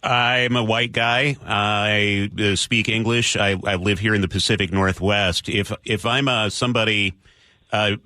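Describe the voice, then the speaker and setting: Male radio news host; direct, plain-spoken questioner, crisp forward tone, close dry mic. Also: fairly low pitch, fairly even intonation, measured pace, bright, forceful tone, no echo. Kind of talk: live radio interview. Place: radio studio.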